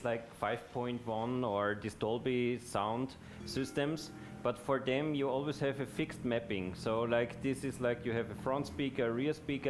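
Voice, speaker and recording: A man moderating a session and explaining technology step by step in plain terms. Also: mid-range voice. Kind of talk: explanatory tech talk. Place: immersive presentation space. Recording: clear background noise, room ambience.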